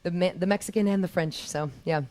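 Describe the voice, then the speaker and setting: Low-voiced woman, easygoing. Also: fairly even intonation, a little fast, darkish tone. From Texas, USA. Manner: easygoing, sprinkles French words, laughs often. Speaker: musician guest. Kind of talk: casual interview chat. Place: TV studio.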